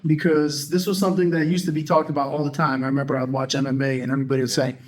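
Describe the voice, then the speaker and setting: A man's voice: mid-range voice, flat delivery, racing. A man hosting a podcast interview, chatty and upbeat, with many ums. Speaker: podcast host.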